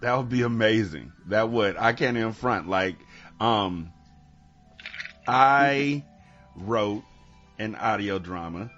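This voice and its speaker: A man's voice: on the low side, animated, slow, pauses often, a bit bright, highs cut off, faint music behind. A man hosting a podcast in a casual, conversational style. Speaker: podcast host. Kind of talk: casual chat.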